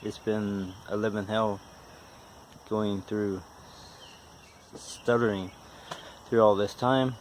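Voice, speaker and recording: A man in his forties with a stutter talks casually and haltingly in a folksy, self-deprecating vlog. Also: fairly low pitch, fairly even intonation, slight background noise. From West Virginia, United States. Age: 40s. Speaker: vlogger, amateur car mechanic. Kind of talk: casual unscripted vlog talk.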